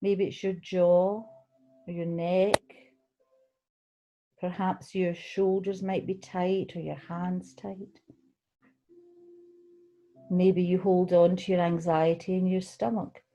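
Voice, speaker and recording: Mellow-voiced woman guiding a meditation. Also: lowish voice, flat delivery, muffled recording, soft background music. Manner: soothing, gentle, unhurried with pauses. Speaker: guided meditation leader, tai chi qigong teacher. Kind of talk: guided relaxation meditation.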